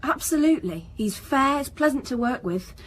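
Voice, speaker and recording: A woman's voice: sits high, animated, normal speed, a little hiss or hum. A woman playing an earnest, defensive research scientist in a radio crime drama, precise and lecturing in manner. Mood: guarded. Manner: precise, lecturing, slightly superior.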